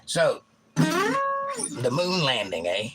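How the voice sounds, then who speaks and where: A hoarse man in his sixties hosting a casual livestream, rambling and reading out viewer comments. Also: on the high side, huge pitch swings, drawn-out words, poor audio. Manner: rambling, folksy storytelling. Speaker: livestream host. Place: home livestream with guitar. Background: loud guitar playing.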